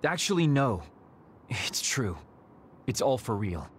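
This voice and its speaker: An actor voicing a laid-back but secretly determined young soldier in a video game, casual, candid and dramatic. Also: male voice, mid-range voice, sing-song, takes it slowly, a little hiss or hum. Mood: wry, candid. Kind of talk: video game cutscene voice acting.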